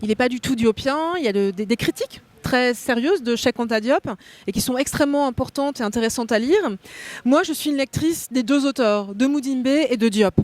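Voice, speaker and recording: A woman's voice: sits high, a little fast, dry recording. A woman raised in France, a guest thinker explaining ideas at length on a cultural talk show. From born in Belgium, raised in France. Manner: articulate, academic, explains concepts at length. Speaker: guest philosopher. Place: hotel meeting room.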